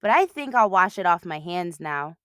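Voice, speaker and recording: A woman voicing a young girl in a children's storybook, fussy yet playful and expressive. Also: fairly low pitch, moderate pace, smooth, dry recording, studio quality. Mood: cheerful. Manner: expressive, squealing when excited.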